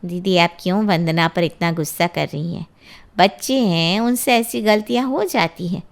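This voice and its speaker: A woman voicing a calm aunt in an audio drama, speaking gently and persuasively to settle a family quarrel. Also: fairly low pitch, normal speed, lightly acted. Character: calm, reasonable aunt soothing an angry family.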